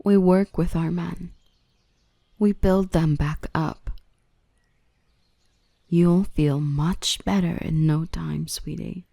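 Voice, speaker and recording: A very unhurried, tender dark elf woman, played by a female voice actor in an ASMR roleplay. Her voice is fairly low with a creaky edge, soothing and often near a whisper. She is gently in charge, nurturing and reassuring. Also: fairly even intonation, dry recording, studio quality, heavily acted. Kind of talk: intimate ASMR roleplay acting.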